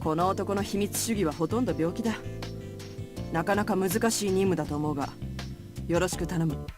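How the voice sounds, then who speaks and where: Voice actress doing cool blunt vn woman, low calm voice, bossy and curt, music under. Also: very fast, close to an everyday voice. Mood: calm, commanding. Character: calm, blunt, commanding woman in a visual novel. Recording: game audio with music behind.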